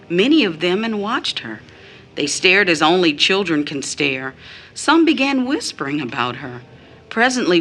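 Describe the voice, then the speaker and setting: An actress playing a bitter, wounded mother in an audio drama, phrasing deliberately as she recounts her child's mistreatment. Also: lowish voice, pushed and bright. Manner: deliberate phrasing, quotes others in mimicked tones.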